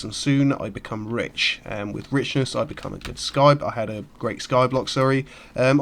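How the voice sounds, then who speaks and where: Man, nasal from a cold, chatty gameplay commentary, medium pitch. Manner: chatty, rambling with fillers. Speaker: gaming YouTuber. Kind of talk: casual let's-play commentary.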